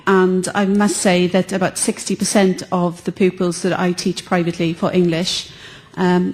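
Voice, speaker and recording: A woman's voice: on the low side, darkish tone. A middle-aged woman, a former teacher who now runs a tuition business, speaking earnestly from the audience with many fillers. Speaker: audience questioner, tutor.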